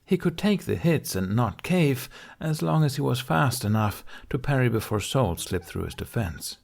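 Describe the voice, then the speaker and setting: A man's voice: mid-range voice, expressive, normal speed, gravelly. A man reading a fantasy adventure story aloud as a scripted audiobook narration.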